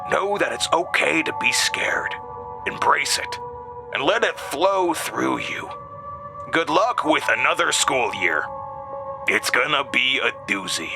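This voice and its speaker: A man voicing an ominous, theatrical school principal with a smoker's rasp, preaching in an audio drama. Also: medium pitch, voice pushed up, full character voice. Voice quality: gravelly smoker's voice. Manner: slow preaching, swelling from whispers to shouts.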